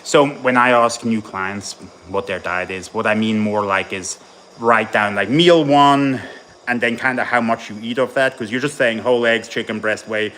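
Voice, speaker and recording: A man's voice: fairly low pitch, moderate pace, a bit bright, a little hiss or hum, rough recording. A man born in Tennessee and raised in Ireland, a bodybuilding coach chatting casually with his live-stream audience between sets at the gym. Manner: chatty, rambling, answers chat while training.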